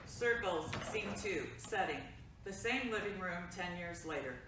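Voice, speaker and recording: A woman's voice: on the low side, lively intonation, measured pace, background noise. A woman reading stage directions aloud in a plain, matter-of-fact way for a virtual staged reading. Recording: echoey room, mic far off.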